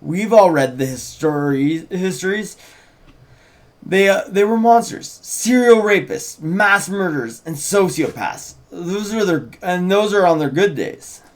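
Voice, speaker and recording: Man reading as a sardonic teenage girl, animated. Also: high voice, measured pace, pitched above the performer's own voice. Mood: scornful. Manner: sardonic, slangy. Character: cynical teasing teenage girl in a fantasy script.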